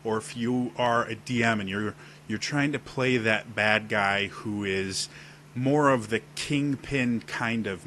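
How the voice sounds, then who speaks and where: A man with a deep voice giving casual on-camera advice about role-playing, demonstrating gestures as he explains. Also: pushed and bright, slight background noise. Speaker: role-playing tips video host. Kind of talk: casual instructional talk to camera. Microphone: webcam setup at desk.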